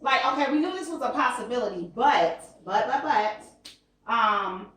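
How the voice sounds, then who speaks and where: A woman's voice: a little high, animated, measured pace, fairly bright tone, very echoey, far from the mic. A woman co-telling a travel story in a conversational way, hesitating with many fillers. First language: English. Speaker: vlogger. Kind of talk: storytime chat.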